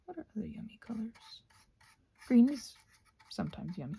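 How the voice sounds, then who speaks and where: A woman with a soft, breathy voice, often close to a whisper, musing aloud in a playful, whimsical ASMR ramble as she crafts. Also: a little high, big pitch swings, a little fast.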